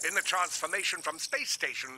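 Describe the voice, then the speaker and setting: A man's voice: on the high side, fairly quick, pushed and bright, slight background noise. A man playing a precise, pedantic robot scientist in a video game, formal, wordy and clipped. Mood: precise, officious.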